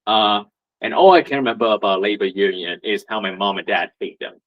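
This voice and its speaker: A man's voice: on the low side, fairly quick. A man from Vietnam, giving a conversational talk at a meetup as a union representative, full of rhetorical questions and fillers. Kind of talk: presentation at a meetup. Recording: muffled lo-fi recording.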